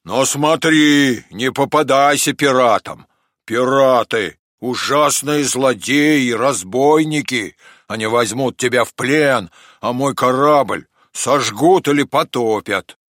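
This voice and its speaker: Мужчина играет неторопливого моряка. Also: male voice, mid-range voice, slow pace, a bit bright, no room sound, clean studio sound. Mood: obliging, warning. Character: helpful, cautioning male sailor. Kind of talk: children's storybook reading.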